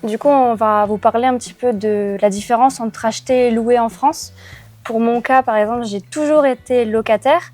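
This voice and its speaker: Female host, somewhat high voice, steady learner-friendly delivery, light music under. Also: normal speed. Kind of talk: conversational language-learning discussion.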